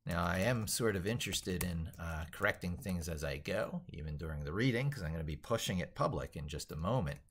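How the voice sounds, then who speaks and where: A man's voice: deep voice, animated, brisk, few pauses, breathy edge. A man hosting a casual tech livestream, rambling and thinking aloud as he works through things on screen and reads text out. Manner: rambling, thinking aloud while working at computer. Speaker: livestream host, tech educator.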